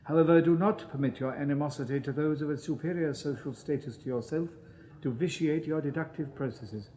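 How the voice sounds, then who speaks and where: A snobbish Victorian inspector with an upper-class English accent, played by a male actor in a radio play. He talks formally and condescendingly in a slightly high voice, over faint ambient noise. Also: a little fast, dark tone, natural voice, poor audio. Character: pompous, snobbish Victorian Scotland Yard police inspector. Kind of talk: 1980s British radio drama acting.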